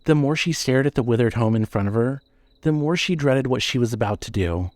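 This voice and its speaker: A man narrating a scripted horror story in a measured, suspenseful way. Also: medium pitch, lively intonation, a little mellow, no room sound.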